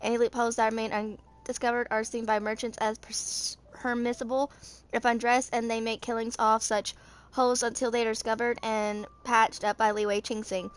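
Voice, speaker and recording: Woman, 20s, amateur narrator. Higher-pitched, monotone delivery, halting read of fantasy game lore. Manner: halting, stumbling reading. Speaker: fan video creator. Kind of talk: reading game lore aloud.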